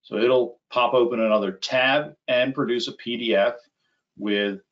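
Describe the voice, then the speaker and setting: A middle-aged man giving a conversational, step-by-step software demo as a webinar presenter. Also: medium pitch, fairly even intonation. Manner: conversational, unhurried step-by-step explaining. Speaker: webinar presenter, applications engineer. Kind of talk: live software demo, explanatory. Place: small indoor room at a computer. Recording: slightly dull, band-limited webinar audio.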